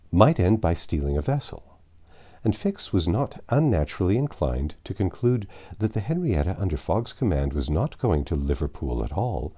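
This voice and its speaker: A man reading a classic adventure novel aloud as an expressive narrator. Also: sits low. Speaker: audiobook narrator.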